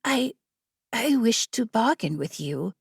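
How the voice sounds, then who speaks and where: A woman voicing an eager, curious female story-seeker in a fantasy audiobook, earnest and excitable. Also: fairly high pitch, slow pace, creaky, breathy edge, dry recording, clean studio sound, full character voice. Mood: eager, hesitant.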